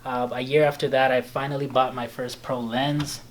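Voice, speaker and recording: A man in his late twenties, born in El Salvador and a native Spanish speaker, with a slight Belizean Creole-tinged English accent, telling his story in a relaxed, halting way full of fillers, recorded by a camera a few metres off in a small office. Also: medium pitch. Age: late 20s. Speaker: interviewee. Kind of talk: casual storytelling chat. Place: small office room, indoors. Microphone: camera mic at a few metres.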